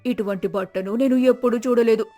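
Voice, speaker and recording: A woman's voice: fairly high pitch, fairly even intonation, brisk, warm tone, soft background music, close to an everyday voice. A woman voicing a gullible village neighbour who gushes eagerly in a moral story. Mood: feigned awe.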